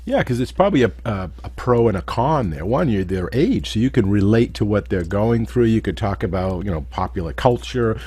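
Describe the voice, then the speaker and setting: A man's voice: on the low side, lively intonation, a little fast, few pauses, dark tone, slightly rough. A man hosting a podcast, asking curious, rambling questions with restarts. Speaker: podcast host. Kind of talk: podcast interview.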